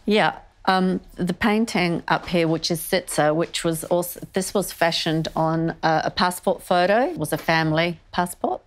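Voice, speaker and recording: A woman's voice: on the low side, steady tone, normal speed, hardly any pauses. An older woman from northern Greece who moved to Australia as a child, speaking candidly and thoughtfully as an artist being interviewed. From born in northern Greece, moved to Australia as a child. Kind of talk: reflective conversational interview. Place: indoor wood-panelled art studio. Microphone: lapel mic.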